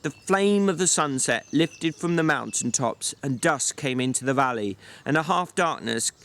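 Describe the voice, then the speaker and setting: A man reads literary fiction aloud as narrator. His delivery is dramatic storytelling, in a slightly high male voice. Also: animated, moderate pace, a bit bright.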